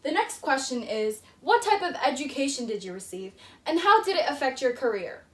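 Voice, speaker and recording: Girl hosting a fake game show, big announcer voice, high, echoey room. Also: sing-song, brisk, a bit bright. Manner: showy announcer delivery. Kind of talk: mock game show hosting for a school project. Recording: roomy echo.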